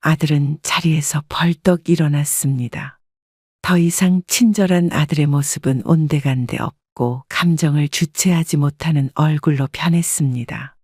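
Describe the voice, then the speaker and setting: A woman narrating a scripted first-person family story in a calm, reflective tone. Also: low voice, normal speed, no room sound, studio quality.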